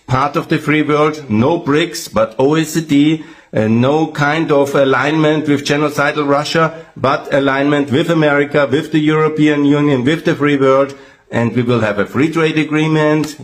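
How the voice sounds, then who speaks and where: Pleading man. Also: mid-range voice. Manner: pleading, earnest, halting with fillers. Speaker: political activist. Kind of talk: recorded political appeal.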